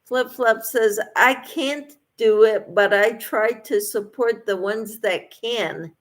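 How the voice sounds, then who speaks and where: A woman's voice: on the high side, slow pace. A woman hosting a casual livestream, reading out viewers' comments and replying to them in an encouraging way. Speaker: livestream host.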